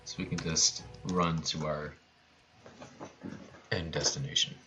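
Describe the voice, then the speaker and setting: A man's voice: rumbling low, flat delivery, takes it slowly, over music. A man giving casual live commentary on his own video game speedrun, with playful, joking asides.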